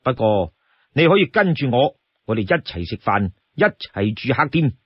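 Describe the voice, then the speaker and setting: A man's voice: mid-range voice, very animated, moderate pace, breathy edge, no room sound, dull audio, voice pushed down. A man voicing a shrewd, firm young outlaw in a story, instructing his companion insistently. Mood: conceding.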